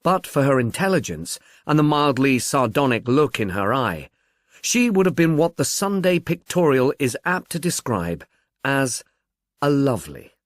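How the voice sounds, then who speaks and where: A man's voice: medium pitch, big pitch swings, normal speed, dry recording, studio quality. A man reading literary fiction as a scripted audiobook narration.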